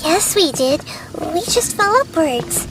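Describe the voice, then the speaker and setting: With music behind, a little girl goddess in a video game, high-voiced and unhurried. She is gentle and wise, thinking things through in a measured way. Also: big pitch swings, heavily acted, rough recording. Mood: calm. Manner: thoughtful, measured, explains by analogy.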